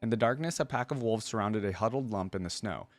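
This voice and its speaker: A man hosting a reaction video, reading lore aloud and adding casual, rambling commentary as he thinks out loud. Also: lowish voice, quick pace, no room sound.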